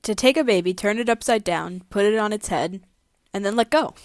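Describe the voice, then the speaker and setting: A woman with a middle-pitched voice co-hosts a casual story podcast. She chats and reads passages aloud. The sound is dry and close, free of room echo. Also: brisk. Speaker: podcast co-host.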